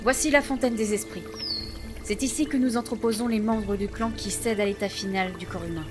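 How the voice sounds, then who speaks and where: A woman playing a proud, solemn female chief of a primitive village clan in a fantasy audio drama, speaking in formal, measured phrases. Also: medium pitch, lightly acted. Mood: stately, explaining. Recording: voice under clear background music.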